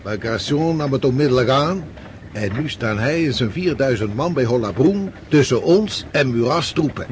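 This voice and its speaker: An actor playing a weary, authoritative commanding general in a radio drama, explaining in a measured way. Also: male voice, medium pitch, animated, a little hiss or hum, close to an everyday voice. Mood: grave, explaining. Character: weary commanding general of a retreating Russian army.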